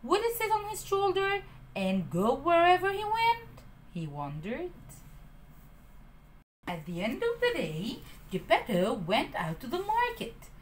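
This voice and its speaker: Slow, animated woman reading to kids. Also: very high-pitched, a little hiss or hum. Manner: animated, addressing children. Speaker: storyteller. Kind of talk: reading a children's storybook aloud.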